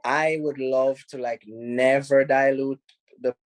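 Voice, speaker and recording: A man's voice: medium pitch, slow pace, dry recording. Laid-back Jamaican man, dry close sound. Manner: relaxed, rambling, many "you know"s, laughs easily. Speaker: film director. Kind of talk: casual interview answers. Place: home in Los Angeles.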